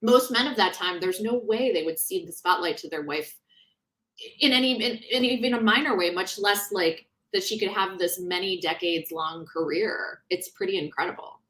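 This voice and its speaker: A woman author being interviewed in a casual book discussion, talking in an animated, conversational way and often breaking off mid-thought. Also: mid-range voice, moderate pace, low-quality recording.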